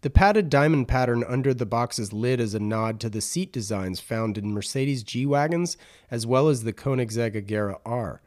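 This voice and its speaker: A man speaks as the host of a podcast. His voice sits at a medium pitch, and he talks as a presenter and interviewer. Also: moderate pace, darkish tone. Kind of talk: scripted narration and interview.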